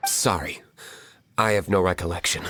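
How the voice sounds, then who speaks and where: Low-voiced, stern, aloof tavern owner. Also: male voice, moderate pace, slight background noise. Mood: cold, guarded. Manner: deadpan, curt, formal. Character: stern, aloof young gentleman tavern owner, curt and unsentimental. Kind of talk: video game voice acting. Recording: music behind the voice.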